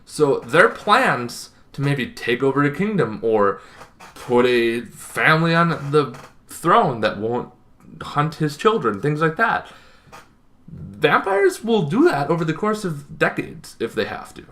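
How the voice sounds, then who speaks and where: A young adult man giving an informal explainer to camera as a host, talking in an animated, conversational way and thinking aloud with asides. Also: on the high side, slow pace. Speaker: host of a tabletop roleplaying advice channel. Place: small bedroom, indoors.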